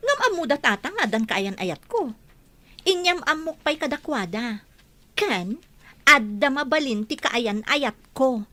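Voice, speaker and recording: Woman acting scheming meddler, bright sharp tone, fairly high pitch, Ilocano accent, comedy radio drama. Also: expressive, a little slow, no room sound, heavily acted. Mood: accusing.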